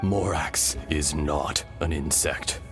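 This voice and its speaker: A man voicing the calm, benevolent spirit of an ancient dragon in a video game, speaking in a measured, solemn way. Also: very deep voice. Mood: firm, earnest. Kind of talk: dramatic video game voice acting. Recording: music behind the voice. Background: game music.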